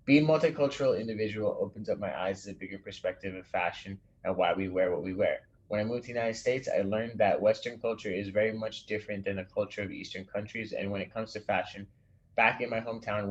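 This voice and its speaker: A man teaching a design class in a recorded lecture, explaining an assignment in a relaxed way and reading an example aloud, with small restarts. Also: fairly low pitch, dull audio. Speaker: instructor.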